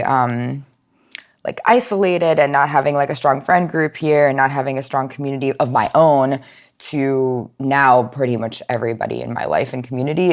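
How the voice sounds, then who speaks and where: A woman talking reflectively in an interview, halting now and then as she searches for words. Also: a bass voice. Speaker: nonprofit outreach manager, podcast guest. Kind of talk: reflective personal interview talk.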